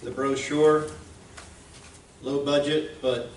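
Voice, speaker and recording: A man giving an informal presentation, speaking in a plain-spoken, folksy, halting way. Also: on the high side, level tone. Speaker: presenter, radio station founder. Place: indoor museum event room. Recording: roomy, distant mic, clear background noise.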